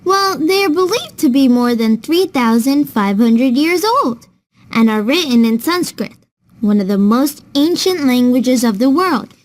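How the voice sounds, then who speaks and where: A woman's voice: high-pitched, animated, a little slow. A woman playing a patient, kindly older sister who explains things to a child in a scripted children's educational cartoon.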